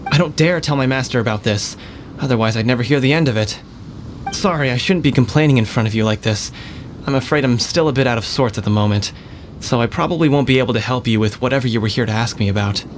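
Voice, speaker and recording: A man's voice: medium pitch, fast, slight background noise, lightly acted. A man voicing a dejected young male fighter who speaks in an apologetic, downcast way. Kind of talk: video game voice acting.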